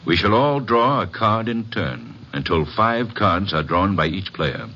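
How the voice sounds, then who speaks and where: An actor playing a suave club owner with formal, precise phrasing, in old-time radio drama style. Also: male voice, sits low, sing-song, measured pace, pushed and bright, slightly rough, exaggerated voice. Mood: suave, commanding. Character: suave, formal male club owner hosting a card game. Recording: dull, hissy vintage radio recording.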